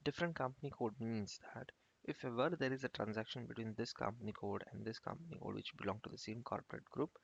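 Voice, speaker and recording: A man giving an informal software tutorial as an instructor, explaining step by step in a halting, repetitive way. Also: medium pitch, vocal fry. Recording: muffled lo-fi screen-recording voice-over.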